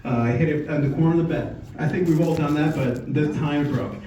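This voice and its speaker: A man in his forties from Puerto Rico gives an informal presentation, telling a personal story in a conversational, halting way. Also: a little high, warm tone, recorded from a distance. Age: 40s. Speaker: speaker at a company sales meeting. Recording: echoey room from afar, muffled, faint noise.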